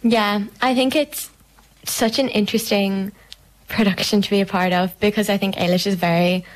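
Even-toned young woman. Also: medium pitch, poor audio. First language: Irish. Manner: hesitant, frequent "like". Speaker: actor interviewed. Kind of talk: thoughtful interview chat.

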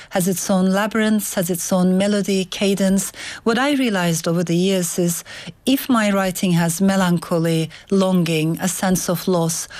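A woman novelist from Turkey, a native Turkish speaker, answering interview questions in a thoughtful, measured way with occasional restarts. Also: medium pitch, darkish tone. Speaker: novelist guest.

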